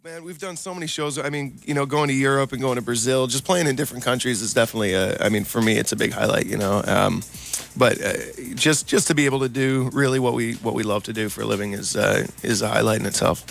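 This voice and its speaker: Creaky-voiced man chatting about band life. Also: medium pitch, fast, fairly bright tone, soft background music. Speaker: musician in a touring band. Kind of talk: casual interview talk.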